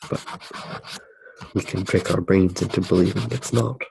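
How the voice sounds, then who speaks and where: A soft-spoken man musing hesitantly through a drawing demonstration in ASMR style. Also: low-pitched, fairly even intonation, normal speed, gravelly, background noise. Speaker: ASMR artist.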